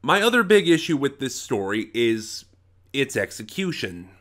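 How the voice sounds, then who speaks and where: Stuffy-nosed man with an animated, swinging pitch. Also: normal speed. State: stuffy from a head cold. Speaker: reviewer. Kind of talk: unscripted audio drama review, casual opinionated talk.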